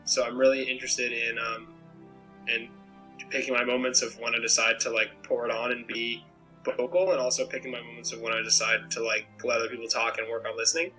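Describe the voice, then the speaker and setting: Young guy on a webcam call from his bedroom, kinda monotone, rambles and restarts a lot, faint music behind. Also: mid-range voice, a little fast, rough recording. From Maine, USA. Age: young adult. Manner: rambling, self-reflective, many restarts. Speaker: game contestant. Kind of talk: pregame interview answers. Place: small bedroom, indoors. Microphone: laptop webcam video call.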